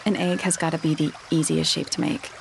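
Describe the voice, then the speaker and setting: A woman playing a blunt, observant detective's sidekick with deadpan, laconic delivery in a mystery adventure game. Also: deep voice, brisk, vocal fry, background noise. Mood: deadpan, teasing. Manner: deadpan, laconic, matter-of-fact.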